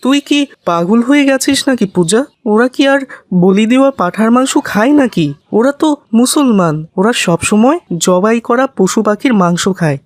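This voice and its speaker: A woman's voice: a little high, lively intonation, normal speed, a little mellow, no room sound, close to an everyday voice. A woman voicing a friendly, fair-minded cartoon schoolboy who talks in an eager, reasoning way.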